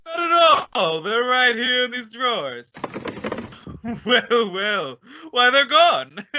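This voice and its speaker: A man's voice: extremely high pitch, big pitch swings, extremely slow, bright, forceful tone, heavily acted. An actor playing a pompous, blustering, flustered male school principal who stammers indignantly in old-time radio comedy style. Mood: nervously jovial. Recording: dull lo-fi recording.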